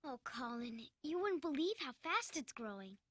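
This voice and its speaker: Very high, spirited young girl. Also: big pitch swings, moderate pace, pushed and bright, dry recording, studio quality, exaggerated voice. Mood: reassuring. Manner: forthright, bossy, emphatic.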